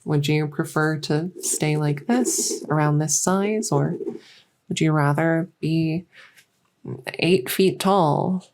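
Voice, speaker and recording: Woman acting a petite dragon-girl doctor, ASMR roleplay, soft low voice, heavy vocal fry, gentle, reassuring, hesitant. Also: fairly even intonation. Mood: gentle, encouraging. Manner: unhurried, hesitant pauses, reassuring.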